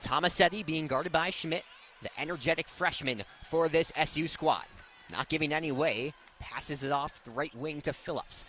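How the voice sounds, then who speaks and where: A young man does live radio basketball play-by-play in a fairly high, bright, forceful voice. His delivery is excitable and rises with the action. He speaks into a courtside mic, with clear game noise behind him. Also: moderate pace. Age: young adult. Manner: excitable, swelling on big plays.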